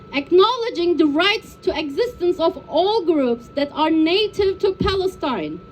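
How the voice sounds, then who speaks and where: A woman's voice: extremely high pitch, slight background noise, low-quality recording. A woman from Turkey, a peace activist, giving a prepared, personal public speech with earnest emotion.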